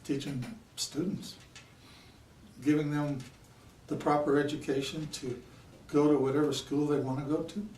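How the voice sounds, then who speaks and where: Flat-toned man in an echoey room. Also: on the high side, moderate pace, long pauses. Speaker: school board applicant, former teacher. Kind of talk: public forum answer. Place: indoor public forum room. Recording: echoey room, distant mic, faint noise.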